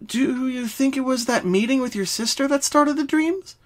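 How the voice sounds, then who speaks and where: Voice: high-pitched, normal speed, voice pushed up, lightly acted. A performer voicing a caring, studious young female unicorn, speaking in an earnest, reassuring and inquisitive way in a story reading. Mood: curious, concerned.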